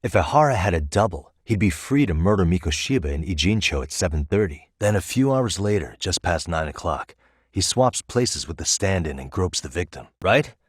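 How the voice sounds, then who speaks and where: An actor playing a sharp, wry private detective in a video game, with an American accent and a casual, persuasive delivery. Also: male voice, low voice, lively intonation, moderate pace, husky, dry recording, clean studio sound. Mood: analytical, focused. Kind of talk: English video game dub acting.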